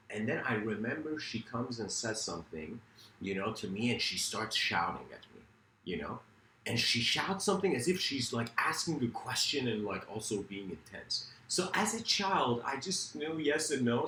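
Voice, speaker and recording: A man's voice: medium pitch, big pitch swings, moderate pace, bright, forceful tone, reverberant, slight background noise, low-quality recording. A man from Iran whose first language is Farsi, giving a reflective philosophical talk in a rambling, self-correcting way with many fillers. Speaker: speaker.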